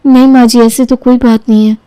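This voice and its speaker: A woman's voice: on the high side, little pitch movement, fairly quick, dark tone, clear, resonant tone, no room sound, pitched above the performer's own voice, lightly acted, low-quality recording. A woman voicing a meek, overworked young daughter-in-law who speaks earnestly and deferentially in an audio story. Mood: anxious, meek.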